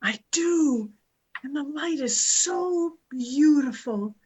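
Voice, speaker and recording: A woman's voice: high-pitched, lively intonation, slow pace, darkish tone. A woman playing a worried middle-aged mother. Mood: reflective. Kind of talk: amateur radio play acting.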